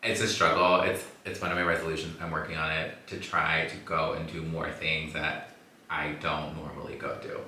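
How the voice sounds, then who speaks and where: A man hosting a podcast in casual chat, playful and teasing, quick to laugh, from Los Angeles. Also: extremely low pitch, level tone, sharp tone, distant mic, slight background noise. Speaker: podcast host. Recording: echoey room, voice a bit off-mic.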